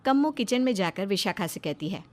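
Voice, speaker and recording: A woman's voice: mid-range voice, expressive, fairly quick, darkish tone. A woman narrating a scripted moral story as a storyteller.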